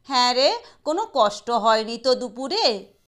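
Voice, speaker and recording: Woman voicing a doting, coaxing mother, high-pitched. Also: sing-song, a little slow, a bit bright. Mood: tender, fussing. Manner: coaxing, tender. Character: doting, weary, anxious working mother of a sick daughter.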